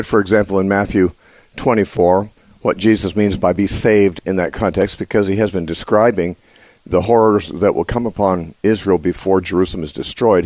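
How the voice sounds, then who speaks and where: A man hosts a live call-in Bible program, his voice unusually deep today from congestion. He explains scripture in a halting way, with many fillers and restarts. The sound is close and dry, with no room echo. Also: darkish tone. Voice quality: usually a high nasal voice. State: congested, voice lower than usual. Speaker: radio show host.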